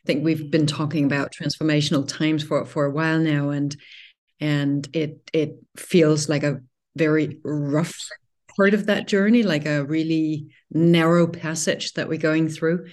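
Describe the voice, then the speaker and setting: A woman's voice: low voice, fairly even intonation, measured pace, darkish tone. A woman with a Danish accent, speaking as a podcast guest in a reflective, hesitant way. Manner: reflective, hesitant, repeats words. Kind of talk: conversational panel discussion.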